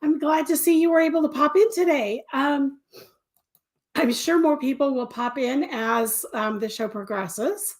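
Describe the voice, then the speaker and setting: Older lady with a stuffy cold chatting on her live stream, kinda raspy, higher voice, says hi to everyone by name. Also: unhurried. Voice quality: slightly raspy throat. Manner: chatty, greets viewers by name, many fillers and restarts. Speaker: crochet designer hosting a weekly live stream. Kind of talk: casual live-stream chat.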